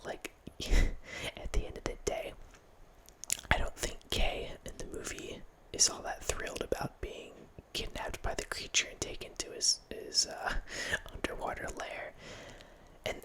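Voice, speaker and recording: A man's voice: moderate pace, poor audio. A man in his thirties hosting an ASMR channel, whispering a rambling film chat into a close microphone in a small home room. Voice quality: soft unvoiced whisper. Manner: rambling, with restarts and quiet laughs. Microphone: close studio mic with headphones.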